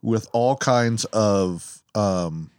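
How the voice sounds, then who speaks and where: A man's voice: on the low side, very slow, a little mellow, smooth, no echo, clean studio sound. A man co-hosting a podcast in casual banter, joking and rambling with lots of restarts. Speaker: podcast co-host. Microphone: headphones and mic stand.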